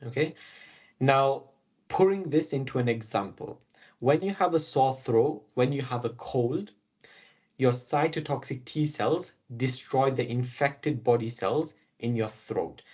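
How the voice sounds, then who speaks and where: A British man giving a recorded classroom lecture on biology. He talks through each step in order, filling pauses with "okay" and "um". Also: medium pitch, darkish tone, slightly rough. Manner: explains step by step, often saying "okay" and "um". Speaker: biology teacher.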